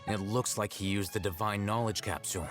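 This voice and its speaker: An actor playing a cool, detached young male scholar in a video game, speaking in a measured, formal, deadpan way. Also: on the low side, over loud music, lightly acted. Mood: analytical.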